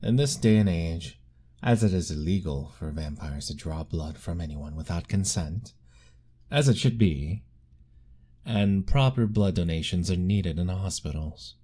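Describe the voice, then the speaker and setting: A man voicing an ancient, lovestruck male vampire lord in an ASMR roleplay, formal and soft-spoken, pleading gently. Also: low-pitched, animated, measured pace. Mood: longing.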